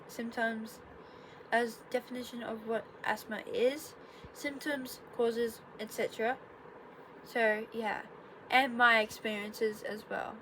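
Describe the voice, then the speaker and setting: A young woman, a bit congested, chatting casually in her bedroom, rambling and correcting herself with sarcastic asides. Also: on the high side. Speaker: vlogger. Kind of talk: casual explainer vlog. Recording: noticeable room noise.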